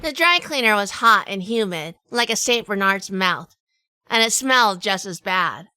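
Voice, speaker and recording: A woman playing a sardonic, quick-witted female detective in a hardboiled noir audio drama, narrating and talking with wry humor. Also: medium pitch, lively intonation, pushed and bright, clean tone, dry recording. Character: sardonic quick-witted 1940s female gumshoe, weary wife.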